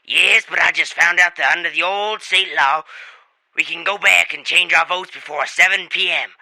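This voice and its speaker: Man playing a sharp, creaky, disgruntled voter. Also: fairly high pitch, normal speed, no room sound, heavily acted, poor audio. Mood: aggrieved. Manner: complaining. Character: aggrieved young father, a disgruntled voter. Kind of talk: comedy radio theater acting.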